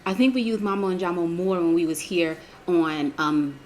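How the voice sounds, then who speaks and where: American woman co-host, low-pitched, chatty and patient, faint noise behind. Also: moderate pace, a little mellow. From United States. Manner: chatty, explains patiently with fillers. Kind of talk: casual travel chat.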